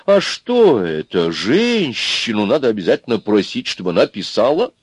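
A man voicing a haughty, indignant woman in a story, with an imperious, drawn-out delivery. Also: on the low side, very animated, husky, no room sound, pitched above the performer's own voice.

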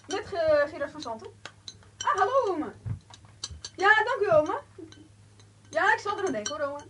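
A woman's voice: very high-pitched, sing-song, moderate pace. A female voice playing an eager young son in an amateur radio play. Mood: cheerful, polite. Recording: distant, noisy amateur recording with clinking.

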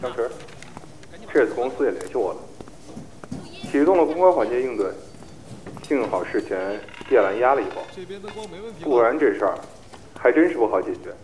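A man's voice: medium pitch, animated, normal speed, pauses often, a little mellow, natural voice, rough recording. A man playing a pragmatic male talent manager in an audio drama, explaining and coaxing persuasively. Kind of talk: acted dramatic dialogue.

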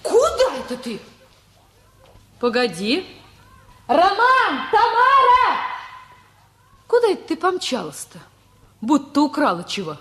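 Shrill echoey busybody. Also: female voice, huge pitch swings, slow pace, pauses often, fairly bright tone, full character voice. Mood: accusing, shrill. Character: nosy, scolding busybody neighbour woman. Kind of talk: radio play acting. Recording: roomy echo, noisy ambience.

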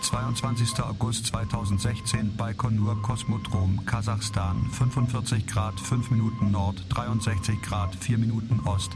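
An actor playing a pedantic, matter-of-fact log voice that recites data in terse, exact, staccato phrases in a radio drama. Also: male voice, fairly low pitch, flat delivery, normal speed, few pauses, lightly acted. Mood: flat, precise. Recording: loud music over the voice.

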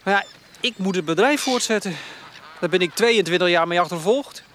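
A man's voice: high-pitched, a little fast, fairly bright tone, a little hiss or hum. An actor in his twenties with a Netherlands Dutch accent playing a troubled, hesitant young farmer's son in a radio play. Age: 20s. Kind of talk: naturalistic radio drama dialogue.

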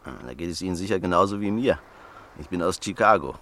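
A man's voice: deep voice, fast, a bit bright. An actor in a radio play voicing a stocky, pushy, jovial American neighbor in his fifties who talks insistently and chuckles.

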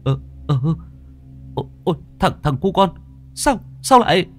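A man's voice: high-pitched, sing-song, moderate pace, soft background music, pitched above the performer's own voice. A man voicing a frightened father who stammers and pleads in tears, begging a ghost to spare him. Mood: bewildered. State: crying.

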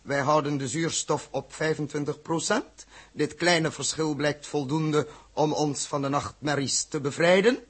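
An actor playing a flustered, mildly pompous spaceship captain in a 1960s Dutch radio drama, hesitant and repeating himself. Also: male voice, on the high side, unhurried, slightly rough. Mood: formal, commanding. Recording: slightly muffled old radio drama recording.

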